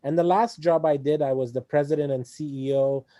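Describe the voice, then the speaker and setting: Very dark-voiced man, halting and thoughtful. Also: fairly high pitch, steady tone, dry recording, clean studio sound. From Egypt. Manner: hesitant, many fillers and restarts. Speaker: interview guest. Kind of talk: conversational interview.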